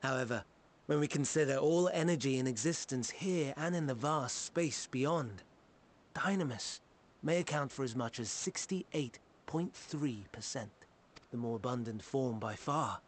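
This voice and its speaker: A man's voice: mid-range voice, unhurried, lightly acted. An actor playing a learned, courteous male scholar in a game cutscene, lecturing in old-fashioned speech. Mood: explaining. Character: learned archaic male scholar, genteel and kindly. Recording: game audio with music behind.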